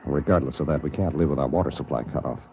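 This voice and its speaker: An actor in a 1950s radio drama playing a cautious, principled anthropologist, earnest and reasonable. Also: male voice, rumbling low, fast, creaky. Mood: matter-of-fact. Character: cautious, principled male anthropologist on alien outpost. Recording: muffled old radio recording.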